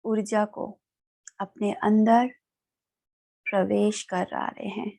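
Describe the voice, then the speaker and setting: A woman with an Indian accent leads a guided meditation in a calm, soothing manner, leaving long pauses. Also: mid-range voice, vocal fry. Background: background music.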